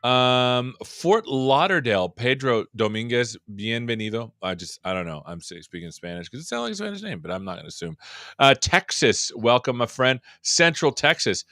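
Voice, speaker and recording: A man's voice: mid-range voice, lively intonation, moderate pace, sharp tone, dry recording, clean studio sound. A man hosting a live tech show, chatting casually and joking as he welcomes viewers. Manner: jokey, rambling and upbeat. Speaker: show host. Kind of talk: casual live-stream chat.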